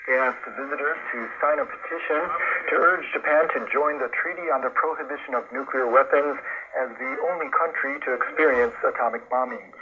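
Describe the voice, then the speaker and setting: A man's voice: mid-range voice, a little fast, lo-fi sound. Male news anchor on noisy shortwave radio. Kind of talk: scripted news reading. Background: loud radio static.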